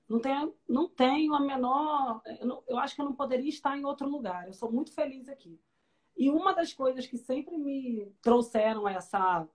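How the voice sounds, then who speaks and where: High-voiced woman. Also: darkish tone, rough recording. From Rio de Janeiro, Brazil. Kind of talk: casual online conversation.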